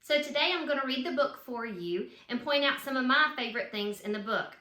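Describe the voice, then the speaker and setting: High, bright-voiced woman. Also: lively intonation, a little fast. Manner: addresses children with questions and emphatic cheers. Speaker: children's book author. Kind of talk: read-aloud of children's picture book with chatty asides. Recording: roomy, echoing room sound.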